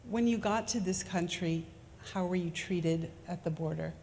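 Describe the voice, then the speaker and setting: Low-voiced woman asking questions in a sanctuary. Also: moderate pace. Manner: gently prompting questions. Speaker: interviewer. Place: synagogue sanctuary. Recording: echoey sanctuary with faint noise.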